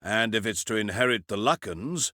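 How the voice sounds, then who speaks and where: A man playing a struggling, deferential younger brother, a student warrior, speaking haltingly in an audio drama. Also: lowish voice, expressive, pushed and bright, no room sound, clean studio sound, pitched below the performer's own voice. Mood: hesitant, stunned.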